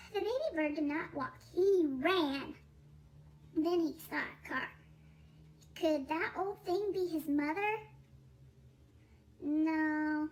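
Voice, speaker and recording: A woman reading a children's picture book aloud in a playful, expressive way, drawing out words. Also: sits high. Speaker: storybook reader.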